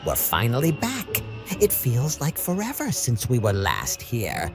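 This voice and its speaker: A brash young male cartoon hero, acted by a woman, eager and confident. The voice is very low for a woman and leaps around wildly, with music clearly behind it. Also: huge pitch swings, unhurried, exaggerated voice. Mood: cocky, upbeat. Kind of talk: cartoon comic dub. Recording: voice over clear background music.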